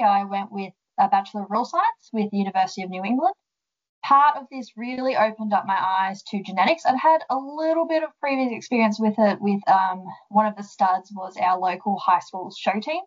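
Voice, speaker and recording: A woman's voice: mid-range voice, muffled recording. A young woman with an Australian accent, a guest on a podcast, answering questions in a friendly, explanatory way with frequent ums. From central west New South Wales, Australia. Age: young adult. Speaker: guest, sheep genetics development officer. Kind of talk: casual interview answers.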